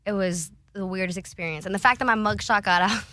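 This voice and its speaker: A young woman with a bright, forceful tone tells stories in a loose, candid way. She is animated, swears freely and laughs often. The sound is dry and close, with almost no room around it. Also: medium pitch, fast, no echo. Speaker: podcast guest. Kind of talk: candid casual storytelling.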